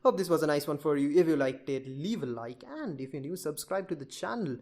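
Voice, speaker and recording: A man's voice: on the high side, animated, brisk, darkish tone. A man giving an informal screen-share coding tutorial, rambling and chatty as he talks straight to his viewers. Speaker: programming tutorial presenter.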